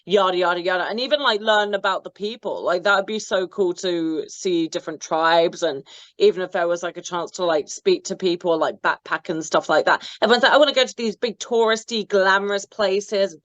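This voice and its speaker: A woman co-hosting a casual chat, talking quickly and chattily with many fillers. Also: lowish voice, fairly even intonation, fairly bright tone, no echo. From Britain. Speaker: co-host. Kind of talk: casual discussion.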